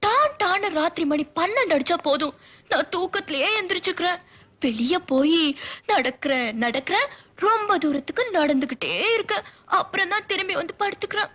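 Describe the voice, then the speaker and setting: A very high, girlish voice belongs to a timid young woman who walks in her sleep. She hesitates and stammers as she confides in her doctor. It is a comedy scene from a film. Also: animated, a little fast, bright, forceful tone, full character voice. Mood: bashful. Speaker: patient.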